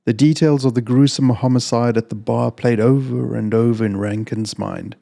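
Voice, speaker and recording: Man with a mellow, creaky storytelling voice. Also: mid-range voice, fairly even intonation, moderate pace. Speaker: storyteller, channel host reading own fiction. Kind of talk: casual introduction, then audiobook-style story narration.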